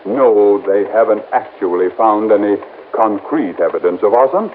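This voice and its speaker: An American actor playing a fussy, worried insurance executive in 1950s radio drama, hesitant, wordy and formal. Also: male voice, fairly low pitch, expressive, moderate pace, phone-quality sound, natural voice. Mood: defensive, wordy. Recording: thin, faintly noisy old radio recording.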